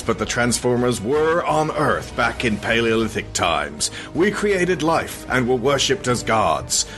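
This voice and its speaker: A man hosting a podcast, reading a comic scripted recap in a mock-solemn, deadpan way with casual asides. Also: mid-range voice. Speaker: podcast host.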